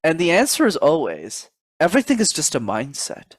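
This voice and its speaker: A man guesting on a podcast as a public speaking coach, talking in an animated, motivational way and often calling the host by name. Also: fairly high pitch, brisk, no echo. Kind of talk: casual interview chat.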